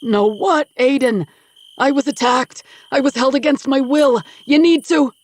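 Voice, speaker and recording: A woman voicing a panicked older captive father who shouts and pleads, denying everything. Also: very high-pitched, drawn-out words, pitched above the performer's own voice, full character voice. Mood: frantic denial. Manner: desperate, shouting, protesting.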